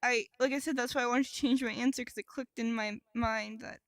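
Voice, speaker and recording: Female podcast co-host, high-pitched, casual and irreverent, crude joker, dry recording. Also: fairly even intonation, brisk, no room sound. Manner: irreverent, crude joking, freely swearing. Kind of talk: casual comedic podcast banter.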